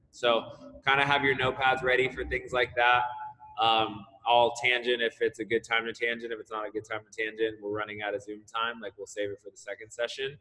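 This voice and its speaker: A man's voice: mid-range voice, sharp tone. A man coaching volleyball over a video call from a large indoor gym, explaining the plan in a loose, chatty way with plenty of "um" and "uh." His voice rings with the long reverb of the gym around him. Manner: casual, explanatory, lots of fillers. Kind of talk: online coaching seminar.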